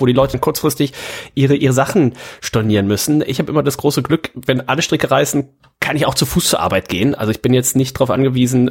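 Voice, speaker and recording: Ein Mann mit norddeutschem Akzent, der als Gastgeber locker und gesprächig plaudert, mit lebhaften Tonsprüngen. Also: male voice, husky. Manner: chatty, with lively pitch jumps. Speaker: podcast host. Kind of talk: casual chat.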